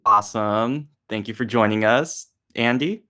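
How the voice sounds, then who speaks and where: Low-voiced man hosting a casual discussion. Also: unhurried, sharp tone. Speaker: discussion host, voice actor.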